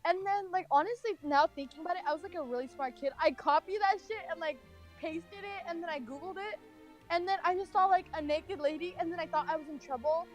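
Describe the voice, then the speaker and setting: High-voiced young American woman telling stories. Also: fairly quick, soft background music. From California, United States. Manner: rambling, heavy use of filler "like". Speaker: storyteller. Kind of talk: casual chatty personal storytelling.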